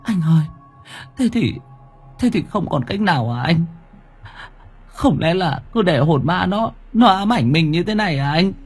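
A man's voice: fairly high pitch, animated, measured pace, pauses often, slightly rough, soft background music, pitched above the performer's own voice, heavily acted. A man voicing a frightened young woman who stammers and pleads with her boyfriend in a horror audio story. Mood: anxious, fearful. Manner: stammering, repeats words in panic.

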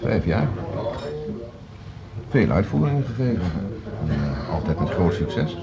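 An actor playing a self-promoting male music teacher, measured and self-assured, in a radio drama. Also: low voice, big pitch swings, exaggerated voice. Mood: self-promoting, angling. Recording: dull recording, background chatter.

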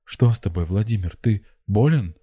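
An impassioned young girl of an audiobook tale, acted out by a man, lovesick, jealous and defiant. The pitch is pushed well up and the tone is soft-edged and dark. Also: expressive, normal speed, breathy edge. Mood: alarmed. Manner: shrill, impassioned exclamations.

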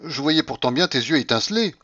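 A man's voice: on the high side, expressive, fairly quick, a bit bright, lo-fi sound, voice pushed up. A man voicing an imperious, eccentric rich old Russian grandmother in an audiobook, blunt and bossy.

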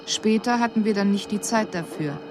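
An actress playing an analytical alien envoy, giving a formal report in a measured, detached manner. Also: female voice, medium pitch, close to an everyday voice. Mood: detached, puzzled. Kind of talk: formal scripted report in radio drama.

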